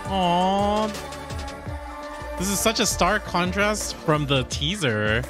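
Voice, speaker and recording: A man in his thirties gushing through an excited reaction to a game trailer, recorded on a close studio mic in a home streaming room. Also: sits high. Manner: animated, gushing, quick exclamations. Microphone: studio mic on boom arm, headset. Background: game trailer music.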